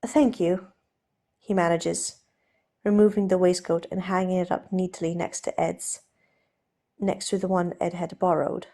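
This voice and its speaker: A woman's voice: on the low side, flat delivery, normal speed, mellow. A woman reading scripted fiction aloud as an audiobook narrator.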